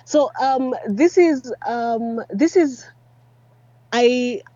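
A woman's voice: a little high, very slow, dark tone, no echo, muffled recording. A Kenyan woman as a podcast guest, answering casually with many ums and restarts over a video call.

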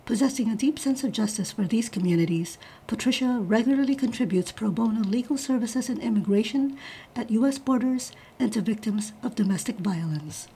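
New woman host, mellow husky voice, rambling curious questions. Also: on the high side, fairly quick, rough recording. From New York. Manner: warm interjections, rambling questions, laughs. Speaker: podcast host. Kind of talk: interview hosting, intro read then chat.

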